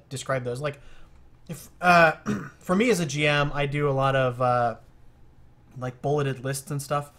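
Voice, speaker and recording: A man giving casual feedback as an experienced game master, explaining with many fillers and pauses. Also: medium pitch, fairly bright tone. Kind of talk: casual feedback discussion on a call.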